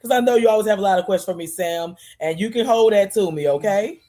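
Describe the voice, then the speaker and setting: A woman, relaxed, telling stories on a casual fan chat and repeating words for emphasis. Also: mid-range voice, quick pace. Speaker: podcast co-host.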